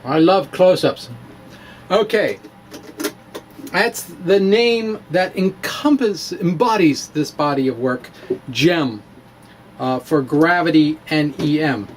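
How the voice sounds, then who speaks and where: Animated man with sweeping pitch, noisy recording. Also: slow pace, long pauses. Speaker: science vlogger presenting his own blog. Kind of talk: dramatic reading mixed with casual show-and-tell.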